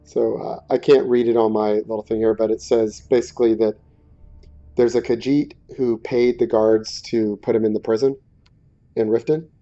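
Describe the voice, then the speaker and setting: A man giving casual let's play commentary in a hesitant, low-key, conversational way. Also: little pitch movement, normal speed, dark tone. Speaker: gaming video creator. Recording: dull muffled audio, faint music behind. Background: faint game music.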